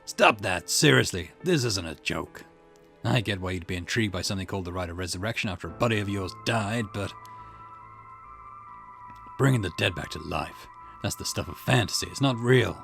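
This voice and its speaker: Guy doing a gruff stern cop boss for a game, low and gravelly, curt, music behind. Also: animated, brisk, fairly bright tone, exaggerated voice. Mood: stern, annoyed. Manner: curt, admonishing. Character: gruff, stern, weary police detective boss.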